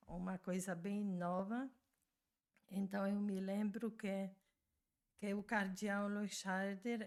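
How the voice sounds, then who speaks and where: Older nun, talks real slow, pauses a lot, mid pitch. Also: female voice, a little mellow. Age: 60s. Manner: slow and deliberate, with frequent pauses and restarts. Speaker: religious sister. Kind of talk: recounting memories in conversation.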